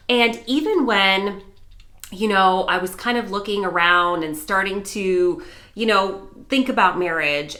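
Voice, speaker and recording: An American woman in middle age, talking earnestly and enthusiastically to camera in a personal vlog. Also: mid-range voice. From United States. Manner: earnest and enthusiastic, warm storytelling. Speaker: vlogger and news anchor.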